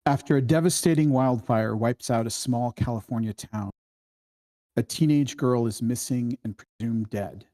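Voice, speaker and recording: A man's voice: medium pitch, moderate pace, warm tone. A man reading aloud a short introduction in a plain style. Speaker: session participant reading a synopsis aloud.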